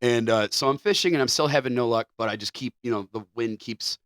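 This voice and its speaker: A man's voice: medium pitch, fast talker, a bit bright, no room sound, studio quality. Rambling male podcast storyteller. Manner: rambling, restarts, swears casually. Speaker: podcast co-host.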